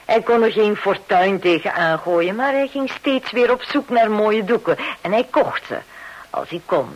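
A woman's voice: mid-range voice, expressive, a little slow, dull audio. A woman playing a polite, matter-of-fact widow in a radio play. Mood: reminiscing. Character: polite, matter-of-fact widow Missus Barclay showing paintings. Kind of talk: Dutch-language radio play acting. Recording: hissy, noisy old radio recording.

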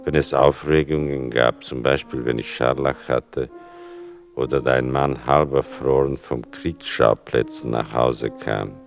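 An actor playing a son who narrates memories of his mother in a radio drama, measured, reflective and wry. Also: rumbling low, fairly even intonation, creaky, soft background music, heavily acted. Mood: reflective, tender. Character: son soberly recalling his mother's persecution.

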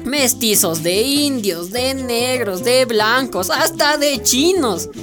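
A woman acting as a bold, teasing young male community radio coordinator in a radio drama, cheeky and deliberate. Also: fairly high pitch, lively intonation, over music. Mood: firm, teasing.

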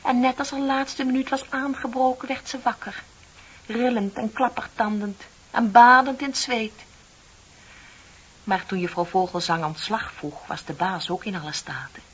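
An actress playing a bitter, composed office clerk in her thirties in a radio play, speaking in a deliberate, pointed, theatrical way. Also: on the high side, fairly bright tone, husky. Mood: accusing. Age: middle-aged. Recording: dull, hissy old radio play recording.

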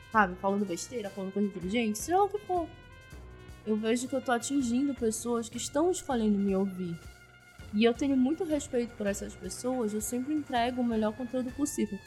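A woman podcaster chatting casually in an animated, self-deprecating way. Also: a little high.

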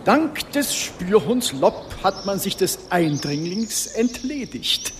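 A man playing a smooth, evasive disgraced official in a radio crime drama, urbane and mock-polite. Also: sits high, sing-song, slow pace, noisy background, exaggerated voice. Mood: knowing, sardonic.

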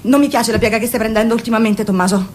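A woman acts out a domineering, anxious mother in a radio play. She talks fast in a high voice, nagging and pleading insistently. There is a faint rumbling noise on the recording. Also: lightly acted. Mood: stern, defensive. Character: pushy, anxious, domineering mother of grown children. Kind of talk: Italian radio drama acting.

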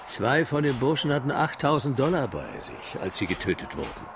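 An actor playing a worldly-wise, matter-of-fact official in a radio crime drama, explaining things in a wry manner. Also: male voice, medium pitch, big pitch swings, brisk, background noise, natural voice.

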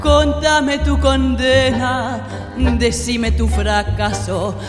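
A woman singer performing a slow, dramatic tango with music behind her. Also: high voice, fairly even intonation. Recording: echoey hall, long reverb.

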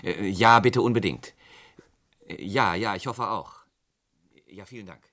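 Courteous store boss, halting delivery, lower register, 1970s radio play. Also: male voice, bright, forceful tone. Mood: concerned, hesitant. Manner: hesitant, courteous, frequent hums and pauses. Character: polite, hesitant businessman, a furniture store owner. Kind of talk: 1970s German radio crime drama acting.

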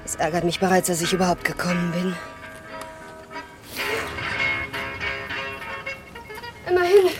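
A woman's voice: on the high side, low-quality recording. An actress playing a frank, wry, teasing woman confiding in an old friend in a German radio drama. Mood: teasing, irritated.

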